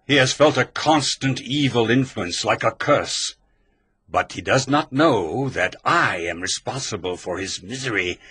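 An actor playing a bitter, vengeful old male physician in an audio drama, with a booming, theatrical delivery. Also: animated, a little slow, sharp tone, husky, no room sound, full character voice. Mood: gloating.

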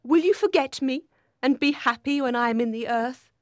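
A woman's voice: sits high, animated, takes it slowly, dry recording, studio quality, voice pushed up. A woman voicing a bitter, passionate dying young wife, intense and pleading, in dramatised storybook dialogue. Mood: bitter, anguished.

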